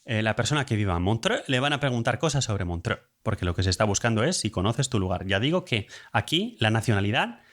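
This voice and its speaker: A man's voice: medium pitch, fairly bright tone. A man with an accent from Spain, casually explaining things in a conversational monologue with hesitant phrasing and asides. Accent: Spanish from Spain.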